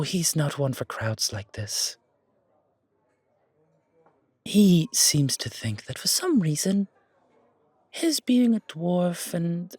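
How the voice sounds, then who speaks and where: A man playing an elegant, wry fantasy coffee shop owner in an audio roleplay, with deliberate, formal, theatrical phrasing. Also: on the high side, breathy edge, dry recording, full character voice.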